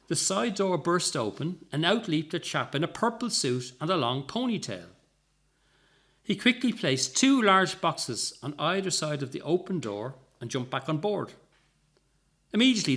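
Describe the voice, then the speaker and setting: Male story reader, expressive comic narration, highly varied pitch, slightly high voice.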